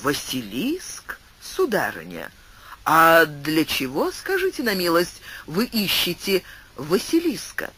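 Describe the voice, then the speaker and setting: Man playing a courteous wanderer, expressive intonation. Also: a little high, takes it slowly, breathy edge, exaggerated voice. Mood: politely curious. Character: sorrowful, courteous male wandering philosopher hero.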